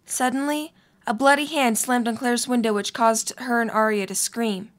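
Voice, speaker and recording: A woman's voice: a little high, fairly even intonation, moderate pace. A woman reading scripted story narration as the narrator of an audio drama.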